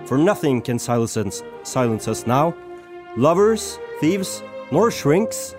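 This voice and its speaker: A man's voice: medium pitch, sing-song, slow. A man playing a sentimental, solemn amateur poet from a rock band in a radio play. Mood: solemn, stirring. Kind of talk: amateur radio play acting, poetry recital. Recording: music behind the voice.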